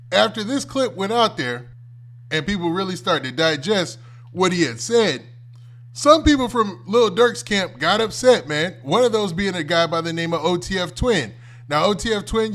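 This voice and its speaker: Casting: male American livestream host, slightly high voice, colloquial and conversational reaction commentary. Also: fairly bright tone. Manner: conversational, colloquial, often ends phrases with "man". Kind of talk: casual off-the-cuff reaction commentary.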